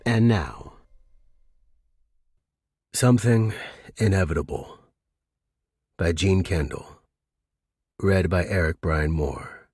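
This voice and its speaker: A man's voice: sits low, lively intonation, slow pace, long pauses, a little mellow, husky, dry recording, studio quality. A man reading scripted announcements and introductions as the host of a fiction podcast. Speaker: podcast host and story reader.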